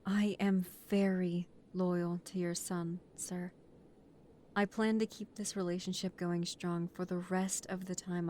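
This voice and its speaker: Woman playing loyal mafia newcomer, unhurried delivery, earnest, loving, emotional, romantic audio drama. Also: lowish voice, darkish tone, a little hiss or hum. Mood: respectful, resolute. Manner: earnest, emotional, polite to superiors. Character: devoted young woman, new mafia recruit, earnest, loving partner.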